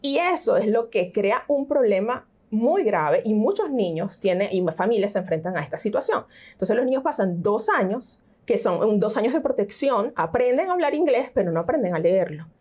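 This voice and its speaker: A middle-aged woman with a Venezuelan accent, a teacher giving an interview and explaining reading and pronunciation with lively examples. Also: mid-range voice, normal speed, few pauses, breathy edge. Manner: explanatory, animated, frequent fillers. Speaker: teacher and tutor, interview guest. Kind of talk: conversational interview.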